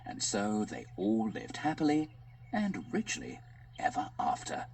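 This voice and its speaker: A man narrating a children's fairy tale in an expressive, scripted audiobook style. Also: lowish voice, a little slow, creaky, breathy edge. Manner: animated, expressive storyteller. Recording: music behind the voice.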